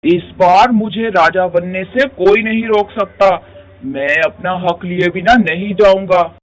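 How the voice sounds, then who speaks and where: There is clear noise behind the voice. A man plays a predatory cartoon tiger, high-pitched and threatening, loudly claiming power. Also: a little slow. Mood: menacing. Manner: threatening, declamatory. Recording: noisy track with clicks.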